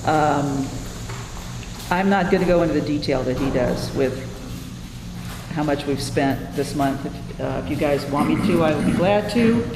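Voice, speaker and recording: A woman's voice: low-pitched, unhurried. A plain-spoken, folksy woman chairing a board meeting informally and reading out figures, self-deprecating as she goes. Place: indoor public meeting room. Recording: echoey hall, loud room noise.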